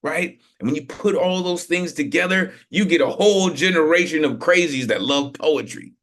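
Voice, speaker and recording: A man emceeing an online poetry open mic through a video call. He talks with loose, hyped energy, piling up slang, shout-outs and "you know what I mean" as he hands the floor to the next poet. His voice sits a little higher than most men's. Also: normal speed.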